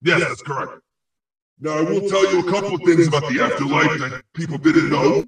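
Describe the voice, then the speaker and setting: A man performs a crude male ghost who revels in spite. The voice sits a little high and comes from far off, swimming in heavy echo. He delivers insults and prophecies pompously, with halting pauses, as in a comic audio play. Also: close to an everyday voice. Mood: smug. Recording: very echoey, distant-sounding voice.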